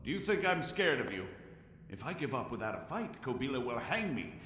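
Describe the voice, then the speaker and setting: A man's voice: medium pitch, very animated, brisk, a bit bright. A man playing a cornered, defiant outlaw in a video game, sardonic and theatrical in his delivery. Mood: sardonic defiance. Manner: mocking, theatrical. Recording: echoey hall, music behind.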